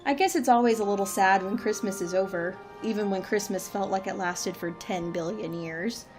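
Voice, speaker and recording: A woman's voice: mid-range voice, moderate pace, darkish tone, soft background music, close to an everyday voice. A woman playing the wry director of a secret organisation in a dry comedic audio drama. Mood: wistful.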